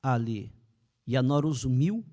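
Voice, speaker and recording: A middle-aged man from Brazil speaking with the old-fashioned accent of the Brazilian Ukrainian diaspora, a guest answering in a halting way. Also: mid-range voice, animated, mellow. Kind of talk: conversational interview.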